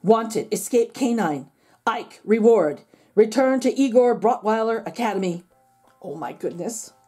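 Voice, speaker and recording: High-voiced woman reading a children's storybook. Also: expressive, a little slow, mellow, slightly rough, faint music behind. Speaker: children's book read-aloud host. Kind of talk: expressive storybook reading with asides to young listeners.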